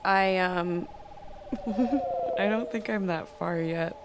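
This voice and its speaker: A woman's voice: on the low side, fairly even intonation, slow, very noisy. A woman acting an inquisitive, impatient young daughter in a stage play, blunt and full of questions. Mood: curious.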